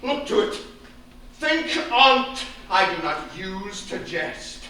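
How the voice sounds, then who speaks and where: An actor playing a stern, raging aristocratic father, swinging from low menace to shouted tirades in Shakespearean stage style. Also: sing-song, takes it slowly, full character voice. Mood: threatening. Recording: echoey stage from far off, faint noise.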